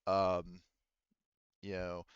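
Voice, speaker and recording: A man working as game master, casually narrating a sci-fi tabletop roleplaying game in a halting, think-aloud way. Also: sits low, completely flat delivery, clean tone, no echo, highs cut off.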